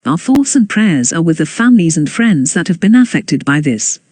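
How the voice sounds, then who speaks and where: An announcer reading scripted emergency news bulletins in a formal, measured way. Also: mid-range voice, big pitch swings, darkish tone, breathy edge, no echo.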